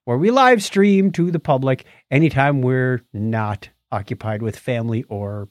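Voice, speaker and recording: A man's voice: mid-range voice, measured pace, no room sound, clean studio sound. A man co-hosting a podcast in a playful, informal way, moving between casual chat and read-out items. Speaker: podcast co-host.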